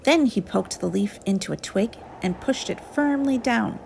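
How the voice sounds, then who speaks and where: A woman reading a children's story aloud in an expressive storyteller's style, with music behind her. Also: a little high, moderate pace, gravelly, slight background noise.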